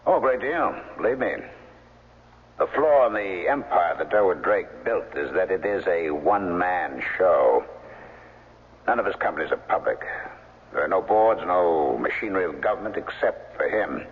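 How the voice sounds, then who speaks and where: An actor playing a secretive, commanding aide to a billionaire in a 1970s radio drama, explaining things in a measured, authoritative way. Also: male voice, deep voice, expressive, breathy edge. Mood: grave, assured. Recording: lo-fi old radio broadcast, long reverb.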